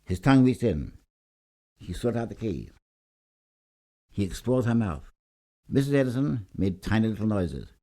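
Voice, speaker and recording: A man's voice: lowish voice, very animated, moderate pace, pauses often, warm tone. A man reading a scripted short story aloud in a theatrical, emphatic storytelling manner. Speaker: story reader.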